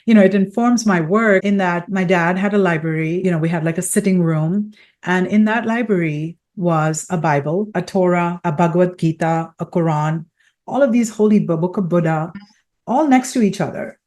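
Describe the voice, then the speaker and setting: Podcast host, woman, middle-aged, from Pakistan; low-pitched, measured, explanatory, lots of "you know". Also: a little mellow. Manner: thoughtful, explains with many fillers and restarts. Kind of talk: conversational interview.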